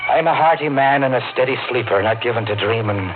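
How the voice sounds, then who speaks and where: An actor playing a hearty but haunted truck driver in a 1950s radio drama, theatrical and brooding. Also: male voice, medium pitch, quick pace, close to an everyday voice. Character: hearty, haunted truck driver telling his nightmare tale. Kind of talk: 1950s radio drama acting, first-person narration. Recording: tinny old radio broadcast, loud music behind.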